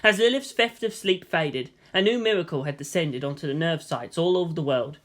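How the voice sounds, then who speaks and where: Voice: mid-range voice, lively intonation, a little fast, a bit bright. A narrator reading fan fiction aloud as scripted drama, with a theatrical, solemn delivery. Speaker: story narrator.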